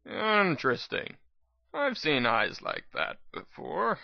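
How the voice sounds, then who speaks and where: A scrawny old unicorn doctor of the wasteland as acted by a male reader in an audio story. He talks in a folksy, grimly amused, world-weary way, pitched higher, on a dry but slightly dull recording. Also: huge pitch swings, slow pace, gravelly, no room sound, full character voice. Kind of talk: dramatic reading of fan fiction.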